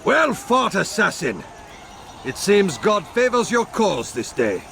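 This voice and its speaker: An actor playing a proud, worldly crusader king in a video game, wry and philosophical, with a measured, grandiose manner. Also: high voice, sing-song, vocal fry, background noise, full character voice. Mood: wry, curious. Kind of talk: dramatic video game acting.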